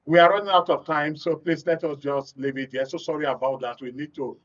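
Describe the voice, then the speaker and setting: A Nigerian man hosts a studio panel discussion. His voice sits on the high side. The sound is somewhat dull and a little distant from the microphone. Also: fairly even intonation, brisk. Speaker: radio and TV talk show host. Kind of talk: live panel discussion hosting. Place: radio and TV studio.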